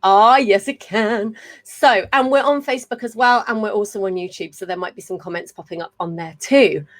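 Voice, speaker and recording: A woman's voice: mid-range voice, moderate pace. A woman in her thirties hosts a live podcast from home over a video call. She is chatty and self-deprecating, with a mid-range voice and casual swearing. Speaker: podcast host. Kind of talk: casual live-stream interview. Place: home room with a fan humming. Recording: fan hum in the background.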